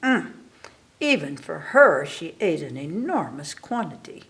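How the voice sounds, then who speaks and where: Woman, library storyteller, low voice, slow reading, correcting slips. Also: sing-song, a bit bright. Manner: deliberate, stops to correct slips. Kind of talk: reading a picture book aloud.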